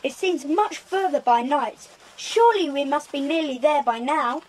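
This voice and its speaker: A woman's voice: high voice, moderate pace, a little mellow, natural voice. A woman playing an eager, good-natured English schoolboy in a children's audio drama, with a British accent.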